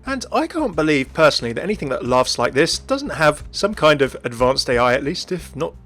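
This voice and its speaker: A man presents a gaming video in a chatty, keen way. He talks fast with lively, moving pitch, over background music. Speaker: video host. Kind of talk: scripted video commentary on a game.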